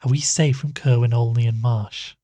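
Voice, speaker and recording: An actor playing an earnest, cautious investigator in an audio horror drama, asking questions in a serious way. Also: male voice, medium pitch, steady tone, normal speed, dark tone, dry recording, natural voice. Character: inquisitive male investigator and podcaster, earnest, cautious. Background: music.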